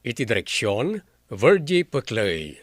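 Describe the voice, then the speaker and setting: A man's voice: mid-range voice, huge pitch swings, measured pace, no room sound. Male radio drama announcer: middle register, scripted host delivery, dry studio. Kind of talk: scripted advice and credits.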